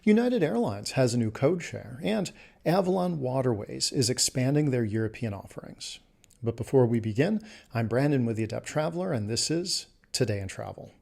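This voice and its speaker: Gravelly, husky male news host. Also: medium pitch, expressive, normal speed, darkish tone. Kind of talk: scripted news narration.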